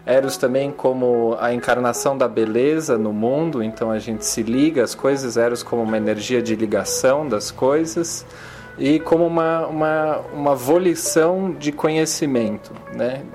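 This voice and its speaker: A man's voice: mid-range voice, normal speed, darkish tone, faint music behind. A man in his thirties hosts a community radio talk, thinking aloud and restarting phrases as he searches for words. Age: 30s. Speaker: radio program host. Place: publisher's recording studio. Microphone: handheld recorder.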